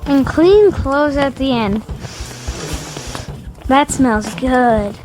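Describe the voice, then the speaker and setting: Woman voicing a high-pitched, curious little boy. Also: animated, drawn-out words, over music, full character voice, low-quality recording. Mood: mildly impatient. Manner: eager, questioning, childlike. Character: curious, innocent young boy. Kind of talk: audio drama acting.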